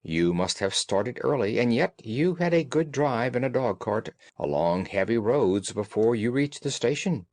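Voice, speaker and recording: A man voicing a brisk, observant gentleman detective in a storybook, courteous, precise and assured. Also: mid-range voice, animated, dry recording, natural voice. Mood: soothing, assured. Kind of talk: audiobook character dialogue.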